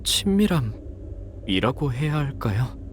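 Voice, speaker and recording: An actor playing a gentle, courteous, inquisitive young man in a Korean game. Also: medium pitch, big pitch swings, slow, a little hiss or hum. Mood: curious.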